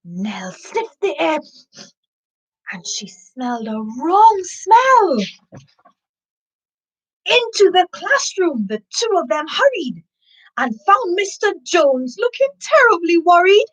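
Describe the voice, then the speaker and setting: A woman's voice: very high voice, slow, pauses often, low-quality recording. A woman hosting a live story time for children, chatting to listeners and reading a picture book expressively. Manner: animated storybook delivery with sniffing and asides to listeners.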